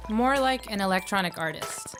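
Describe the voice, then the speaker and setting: A woman's voice: medium pitch, expressive, moderate pace, natural voice. A woman playing a brash, self-assured electronic music artist in a motion comic, slangy and cocky in delivery. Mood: brisk, cocky. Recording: voice over clear music.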